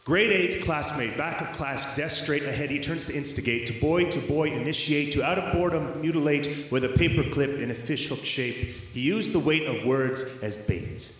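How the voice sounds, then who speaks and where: A man's voice: a little high, moderate pace, few pauses. A man performing spoken word poetry with a rhythmic, dramatic delivery in an echoey hall. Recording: echoey hall with long reverb.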